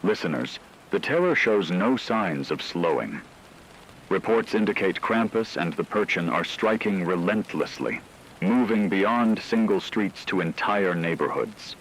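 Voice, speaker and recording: A deep-voiced male radio newscaster played by an actor delivers horror bulletins in a grave, measured tone. The scripted delivery is solemn and ominous, with clear rain-like noise behind it. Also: low-quality recording. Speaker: radio news host.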